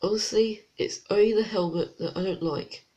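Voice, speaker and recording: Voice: mid-range voice, moderate pace, mellow, highs cut off. A reviewer with a blocked, congested nose, chatting casually through a toy set review with unsure, rambling asides. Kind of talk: casual product review vlog.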